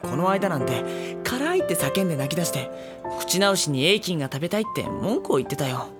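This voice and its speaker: A man playing a gentle, exasperated, self-deprecating high school boy in a Japanese anime audio drama. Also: sing-song, a little fast, loud music behind the voice. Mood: wry, complaining.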